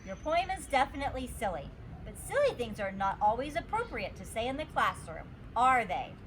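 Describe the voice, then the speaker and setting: A woman voicing a stern but kindly male school principal in a children's storybook, speaking in a deliberate, admonishing way. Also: on the high side, big pitch swings, background noise. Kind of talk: children's storybook character reading.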